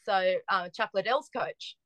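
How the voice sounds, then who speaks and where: A woman, chatting as a podcast guest and telling lively stories with frequent restarts. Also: medium pitch, unhurried, no echo. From Australia. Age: 40s. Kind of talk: casual chat.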